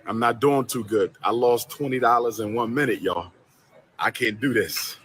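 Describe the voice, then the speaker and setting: A man's voice: mid-range voice, normal speed, fairly bright tone. A man in a casual vlog clip, complaining and repeating himself.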